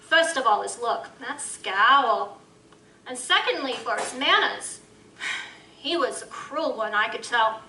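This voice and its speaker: A woman's voice: a little high, expressive, slow, sharp tone, full character voice. A brassy, streetwise working woman. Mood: sly. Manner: sly, knowing, teasing. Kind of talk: live audio drama acting. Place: live convention stage. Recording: echoey stage from far off, faint noise.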